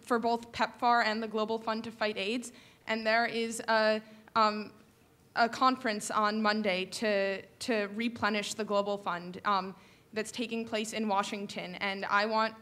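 Young woman, student questioner, flat even intonation, medium pitch. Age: young adult. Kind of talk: prepared question at a public forum. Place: auditorium audience floor. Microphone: stand microphone.

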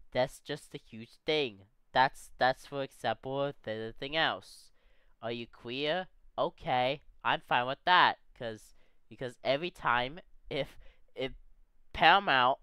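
Excitable, rambling man in a dry, close recording. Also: medium pitch, clean tone, no room sound. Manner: excitable, rambling, restarts a lot. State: voice a bit off from sickness. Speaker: YouTube reactor. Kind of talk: casual reaction chat.

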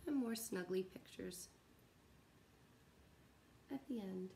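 A woman's voice: medium pitch, slow pace, gravelly, mellow, a little hiss or hum. A woman in her thirties reading a picture book aloud to young children in a sing-song way, recorded at home. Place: living room at home, indoors.

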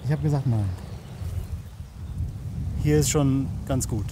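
A man's voice: mid-range voice, takes it slowly. A man talking casually and jokingly in colloquial language as he records a camping vlog outdoors on his phone. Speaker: camping vlogger. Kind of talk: casual outdoor vlog talk to camera and companion. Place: outdoors at a riverside campsite. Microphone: handheld smartphone. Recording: faint outdoor noise on phone audio.

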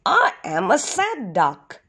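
Woman voicing a high, wistful little boy duck. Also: wildly swooping pitch, takes it slowly, a bit bright, slightly rough, exaggerated voice. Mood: sad, wistful. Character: sad young boy duck, wistful and gentle.

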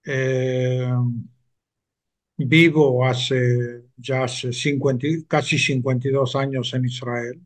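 Older guy, 70s, slow careful video call talk, lots of eh pauses, headset. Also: medium pitch, flat delivery. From Argentina. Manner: measured, hesitant with frequent "eh" pauses. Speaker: interview guest, retired sociologist. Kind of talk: slow, thoughtful interview answers. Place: home room with bookshelves.

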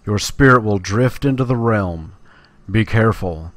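A man's voice: fairly low pitch, a little slow, lightly acted. A man reading fantasy game quest text aloud as a grand, formal quest giver. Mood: instructing.

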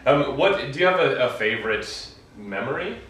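A man's voice: medium pitch, sing-song, moderate pace, fairly bright tone. American guy doing a casual playful interview, really echoey room, bit far from mic. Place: indoor theatre set. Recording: echoey room, distant mic, faint noise.

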